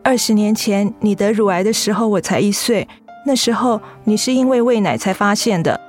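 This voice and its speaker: A woman's voice: medium pitch, moderate pace, a little mellow, faint music behind, close to an everyday voice. A woman acting in a radio drama as a devoted, earnest twenty-year-old son comforting a parent. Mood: earnest, tender.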